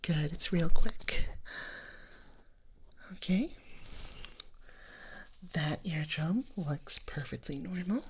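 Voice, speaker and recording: Slow whispering dragon nurse, played by a woman. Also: sits low, slight background noise, exaggerated voice. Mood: gentle, reassuring. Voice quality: soft, breathy whisper. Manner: slow, soothing, reassuring clinical bedside talk. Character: gentle reassuring female dragon nurse giving hearing exam. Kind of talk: ASMR roleplay.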